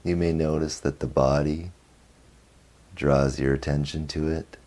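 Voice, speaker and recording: Very deep, slow-speaking meditation guide man. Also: fairly even intonation. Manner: slow, soft, with long pauses between short phrases. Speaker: meditation teacher.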